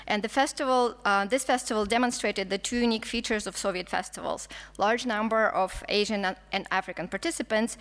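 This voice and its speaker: Woman, Russian accent, academic lecture, halting delivery with restarts, mid-pitched voice, long hall reverb. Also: a bit bright. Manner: halting, frequent restarts and fillers. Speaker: film historian lecturer. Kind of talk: academic conference talk, read from notes.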